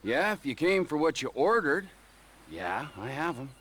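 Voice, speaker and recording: An actor playing an erratic, menacing drug dealer in a video game, sarcastic and halting, repeating himself for emphasis. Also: male voice, a little high, very animated, a bit bright, slight background noise. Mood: suspicious, menacing. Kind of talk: video game cutscene acting.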